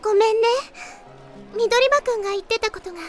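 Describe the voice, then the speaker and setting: A woman playing a perky, earnest teenage girl in an anime audio drama, cute and energetic in manner. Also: very high-pitched, a little fast, over music. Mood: apologetic, earnest.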